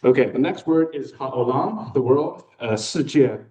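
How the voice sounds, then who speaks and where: A man's voice: mid-range voice, expressive, a little slow, a little mellow, distant mic. Young American man, slightly off-mic. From United States. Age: young adult. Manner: conversational, with frequent fillers. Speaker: club member giving a talk. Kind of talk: personal presentation with slides. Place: meeting room.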